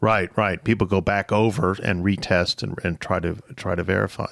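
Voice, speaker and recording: A man's voice: sits low, steady tone, moderate pace, gravelly, a bit bright, dry recording, clean studio sound. A man hosting a conversational interview, often repeating words and restarting as he feels out his questions. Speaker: podcast host.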